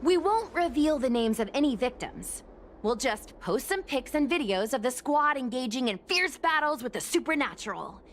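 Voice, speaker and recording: A woman's voice: high voice, animated, moderate pace, a little hiss or hum. A woman voicing a bubbly, enterprising young girl in a video game, chatty and confidently persuasive. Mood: enthusiastic pitching. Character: bubbly, enterprising young ghost-hunting girl, eager for followers. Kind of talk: animated video game voice acting.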